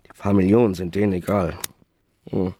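An actor playing a worried, broke lawyer and secret lover in a radio crime drama. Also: male voice, deep voice, fairly even intonation, normal speed, dark tone. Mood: worried, bitter.

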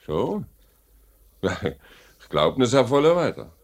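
A man's voice: medium pitch, very animated, vocal fry, exaggerated voice. A man playing a formal, authoritative factory director with a Swiss German accent in a 1950s radio play. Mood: firm. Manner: measured, formal, noncommittal. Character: measured, authoritative male factory director, businesslike.

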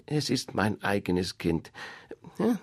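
A man's voice: huge pitch swings, slow pace, dry recording, exaggerated voice. A man reading a fairy tale aloud, voicing a fussy, proud mother duck in a raised, scolding voice. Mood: fretting. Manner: shrill, scolding, bossy. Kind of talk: storybook reading.

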